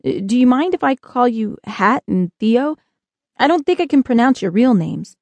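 A woman's voice: fairly high pitch, expressive, normal speed, a little mellow, no room sound, muffled recording, pitched above the performer's own voice. A woman voicing a shy young boy in an audiobook, hesitant and informal in the way of talking. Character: young boy, awed, shy, casual-talking American kid.

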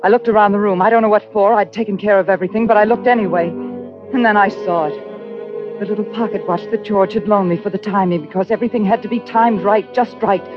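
A woman's voice: medium pitch, natural voice. Haunted woman confessing played by an actress, muffled recording. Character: haunted, guilt-ridden woman murderer narrating her crime. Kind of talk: 1940s radio suspense acting. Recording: dull old radio recording with music.

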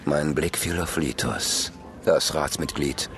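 An actor playing a grim, bitter, cynical antihero with a gravelly voice, narrating his own dark fantasy audio drama. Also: very deep voice, slow pace, exaggerated voice. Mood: brooding. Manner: brooding, cynical. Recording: loud music behind the voice.

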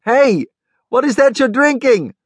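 A man's voice: very high voice, very animated, measured pace, husky, dry recording, pitched above the performer's own voice, exaggerated voice. A man voicing a chatty, inquisitive male caller with a thin, whistly voice. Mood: chirpy, curious.

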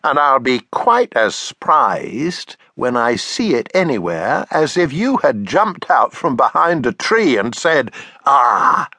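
A patient, playful cave father, played by a man in a storybook, speaking slowly with a lively, bright tone. The voice sits close and dry. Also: mid-range voice, no room sound, pitched above the performer's own voice, full character voice. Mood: fond. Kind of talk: storybook reading.

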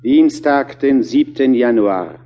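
Diary date announcer, very slow, formal, measured, dull muffled sound, radio play. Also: male voice, medium pitch, lively intonation.

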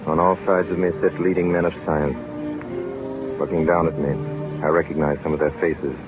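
Earnest deep-voiced scientist, old radio. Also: male voice, flat delivery, music in the background. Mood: solemn, reflective. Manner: solemn, measured, earnest. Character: earnest, ambitious male scientist recalling his student years. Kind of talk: 1930s radio drama acting, dramatic first-person narration. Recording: narrow, muffled 1930s radio recording.

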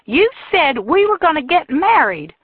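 A narrator voicing a furious, jilted young Southern woman who screams her accusations in a high, shrill voice. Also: very animated, measured pace, no room sound, phone-quality sound. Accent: American Southern.

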